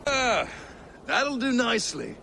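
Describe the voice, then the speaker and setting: A hardy Viking veteran with an extremely creaky voice pitched high and swinging widely. He gives blunt, practical counsel in a video game cutscene. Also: takes it slowly, full character voice. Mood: pleased. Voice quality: gravelly, creaky. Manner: blunt, animated. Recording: outdoor ambience noise behind voice.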